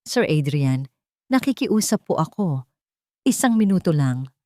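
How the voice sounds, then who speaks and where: A woman's voice: low voice, sing-song, a little slow, dark tone, no room sound, clean studio sound. A woman voicing a brave young housemaid in an audio story, respectful and pleading, with a trembling but determined voice.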